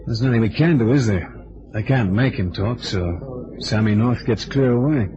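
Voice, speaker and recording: An actor playing a wry, tough but affable amateur sleuth in an old-time radio drama, with streetwise, hesitant turns of phrase. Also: male voice, medium pitch, normal speed, background noise, natural voice. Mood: hesitant, resigned. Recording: dull, lo-fi vintage radio recording.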